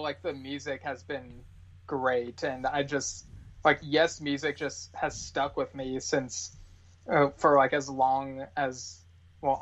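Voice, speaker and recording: A man speaking as a podcast guest in casual chat, with earnest fan enthusiasm, recorded with dull, lo-fi sound. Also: mid-range voice.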